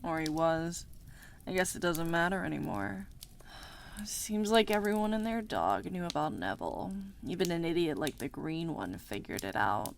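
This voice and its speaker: Woman acting creaky, irritable bat deity. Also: fairly low pitch, expressive, normal speed, slight background noise. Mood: weary scorn. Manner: curt, scornful, sighing. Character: irritable, sneering, short-tempered bat deity. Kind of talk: dramatic visual novel voice acting.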